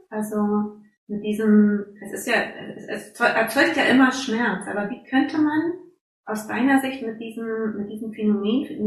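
Reflective interviewer woman, echoey room. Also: a little high. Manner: reflective, frequent "mhm" backchannels. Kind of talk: conversational interview.

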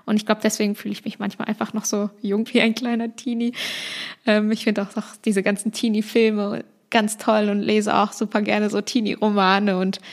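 Woman, late 20s, podcast host, flat, even delivery, thoughtful and meandering. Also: mid-range voice. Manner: reflective, rambling with small restarts. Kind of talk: casual personal monologue.

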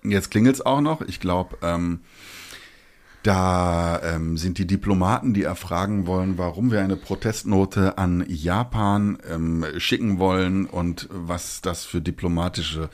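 A man's voice: low-pitched, measured pace. A middle-aged man hosting a casual podcast, cracking ironic jokes and explaining things like a teacher. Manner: joking, ironic asides. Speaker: podcast host. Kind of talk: casual chat.

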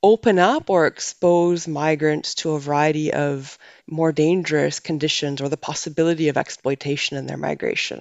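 A woman speaks in a measured, explanatory way and often restarts her phrases. Her voice has a gravelly edge. The recording is dry and a bit muffled. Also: sits low, a little mellow, no echo. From Canada. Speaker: migration researcher. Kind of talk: academic podcast discussion.

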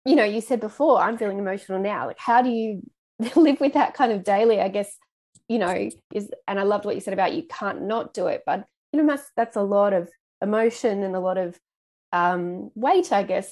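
Woman host, mid-pitched everyday voice, hesitant questioner with lots of fillers. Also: normal speed, darkish tone. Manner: hesitant, searching for words, many fillers. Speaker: interviewer, host. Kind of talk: warm interview chat.

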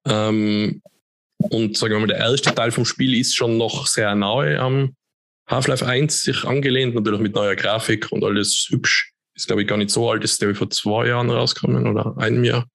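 A man, co-hosting a podcast in relaxed, rambling casual chat. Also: medium pitch, moderate pace, gravelly, no echo. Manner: relaxed, chatty, rambling with fillers. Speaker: podcast co-host.

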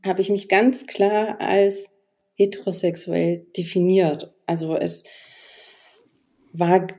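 A woman's voice: on the low side, steady tone, slow, dark tone. A woman in her forties, a podcast guest telling her personal story in a reflective, hesitant way with many fillers. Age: 40s.

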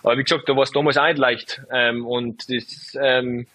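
A man in his twenties, a footballer, answering interview questions in a relaxed, halting way full of fillers. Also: medium pitch, low-quality recording. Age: 20s. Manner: hesitant, lots of fillers, relaxed. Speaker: footballer guest.

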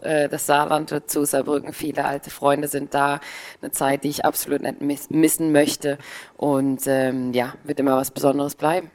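A woman in her thirties from the Palatinate, a former footballer answering interview questions thoughtfully, often pausing to find her words. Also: sits low. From Palatinate, Germany. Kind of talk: casual interview.

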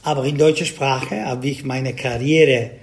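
A man from South Tyrol with an Italian accent, a singer answering interview questions in halting German full of fillers. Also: medium pitch, steady tone. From South Tyrol, Italy. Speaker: Schlager singer, interview guest.

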